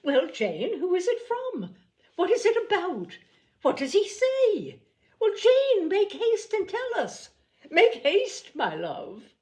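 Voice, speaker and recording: Excitable, gushing middle-aged mother for a period storybook, played by a woman narrator: fussy, exclamatory, high and swooping. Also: slow, heavily acted. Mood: excited, urgent.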